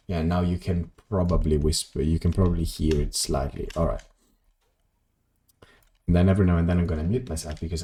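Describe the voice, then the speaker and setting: This is a young man with a very deep, mellow voice. He rambles casually to his stream audience, stumbling through ums and restarts and joking about his own mess. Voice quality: very low voice. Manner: rambling, self-deprecating, many ums and restarts. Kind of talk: casual live-stream chat while gaming.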